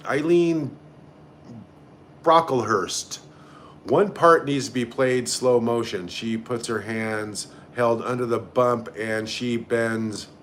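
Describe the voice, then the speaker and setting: A man's voice: medium pitch, steady tone, takes it slowly, fairly bright tone, a little hiss or hum. A man hosting a casual live stream, reading out comments and reacting in a blunt, sarcastic, sweary way. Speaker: livestream host.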